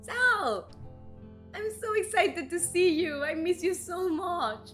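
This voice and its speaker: An excited, fond young woman from a video game, acted out by a woman streamer, speaks in a raised, high voice with music behind. She is eager and affectionate, and the speaker is a native Spanish speaker. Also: takes it slowly, exaggerated voice.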